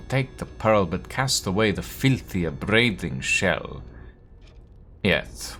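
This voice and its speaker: A man gives voice to a poetic young woman who speaks in riddles, guarded and wry. The delivery is unhurried and lilting, with lively pitch swings. The voice sits low, and soft music plays underneath.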